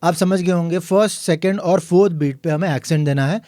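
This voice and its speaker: A man teaching a piano lesson, explaining calmly and counting the beats aloud. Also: sits high, a little fast, dry recording, studio quality. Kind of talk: tutorial lesson.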